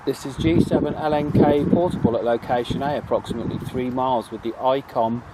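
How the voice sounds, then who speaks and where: A man's voice: mid-range voice, steady tone, fairly quick. A British man hosting a radio gear review in a casual, conversational way. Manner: informal, conversational. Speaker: radio review video host. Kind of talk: casual hands-on product review. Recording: very noisy, vehicle and radio noise.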